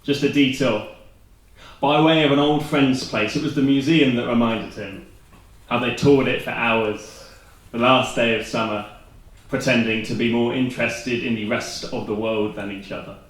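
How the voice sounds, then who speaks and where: A man's voice: mid-range voice, steady tone, normal speed. A Welsh man in his twenties performing spoken word poetry at a slam, with dry, self-deprecating humor between pieces. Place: live performance venue. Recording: very echoey room recorded from a distance.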